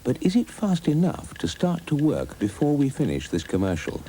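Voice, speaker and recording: A man's voice: medium pitch, huge pitch swings, normal speed, mellow, slight background noise. A man delivering scripted advert narration. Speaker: advert voice-over.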